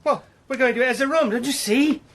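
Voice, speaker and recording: An actor playing an earnest, impassioned British gentleman spy with a literary turn of speech in a radio drama. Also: extremely high pitch, brisk, slight background noise. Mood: excited. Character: Sandy, fervent eloquent British gentleman spy.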